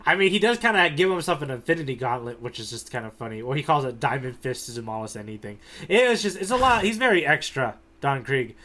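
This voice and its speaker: A man's voice: mid-range voice, expressive, fast, few pauses, pushed and bright. A man co-hosting a podcast in casual comedic chat, wryly riffing on jokes as he goes. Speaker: podcast co-host.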